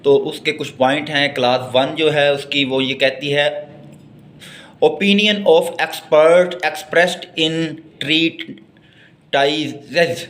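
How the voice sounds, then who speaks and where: A man's voice: on the high side, fairly even intonation, drawn-out words, fairly bright tone. A man with a Pakistani accent lecturing on law, mixing Urdu and English as he reads out and explains.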